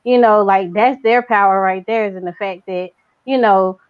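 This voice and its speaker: A woman in casual conversation who talks in long, rambling stretches full of fillers and pushes her naturally quiet voice louder. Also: mid-range voice, normal speed, smooth, no room sound, dull audio. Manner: says her natural voice is very quiet but trains herself to speak louder. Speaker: guest. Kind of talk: casual chat.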